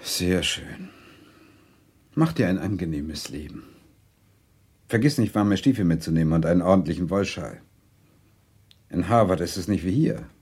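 An actor playing a dryly caring university lecturer, with a husky voice, in a radio drama. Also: male voice, sits low, animated, moderate pace, long pauses, darkish tone, exaggerated voice. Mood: surprised, dry.

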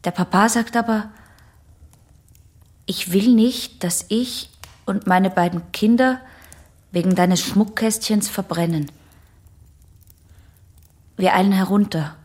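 An actress playing a reluctant, quietly defiant young patient in a radio play, recounting things in a guarded, hesitant way. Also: female voice, mid-range voice, takes it slowly, pauses often, lightly acted. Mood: hushed recounting. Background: faint music.

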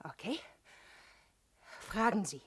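A woman's voice: mid-range voice, animated, slow, husky, full character voice. An actress playing a defiant young female crook who answers the police sharply and defensively in a 1970s radio play. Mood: sullen, wary.

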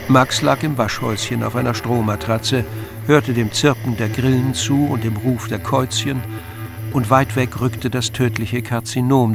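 A composed male storyteller with a very creaky, gravelly voice at medium pitch. He gives measured, literary narration for a radio drama, with music clearly heard underneath. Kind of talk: scripted narration.

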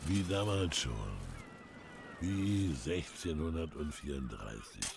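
Gravelly old doom-saying villager. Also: male voice, very deep voice, expressive, slow pace, noisy background, exaggerated voice. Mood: ominous, rambling. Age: older. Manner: rambling, ominous, fervent storytelling. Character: old doom-saying North Frisian villager telling omens. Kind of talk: German radio drama acting. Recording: music behind the voice.

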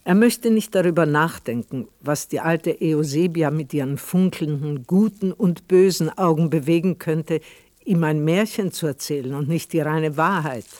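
A man acting as a measured male storyteller for a radio drama. His voice sits fairly high for a man. It sounds close and dry, with a reflective literary delivery. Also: darkish tone, no echo, lightly acted. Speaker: narrator. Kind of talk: literary radio play narration.

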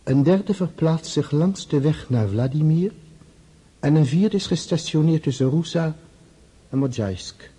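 An actor playing a stern, reproachful Russian tsar, reading out a letter in a measured, formal manner in a radio drama. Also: male voice, on the high side, lively intonation, dark tone, husky.

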